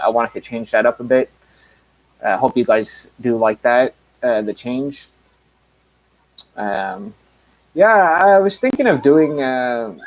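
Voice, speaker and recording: A man in his mid-thirties talks loosely on his own live stream, wandering between thoughts with plenty of uhs and restarts. His voice sits at an ordinary middle pitch for a man. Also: low-quality recording. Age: 30s. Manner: rambling, many fillers and restarts. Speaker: channel host. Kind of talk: casual live stream chat.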